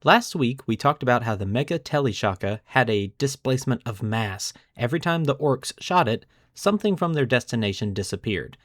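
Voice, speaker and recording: A man's voice: medium pitch, expressive, brisk, hardly any pauses, a bit bright, no room sound. Man hosting a podcast, dry close sound. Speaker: podcast host. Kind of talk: lore storytelling, semi-scripted narration.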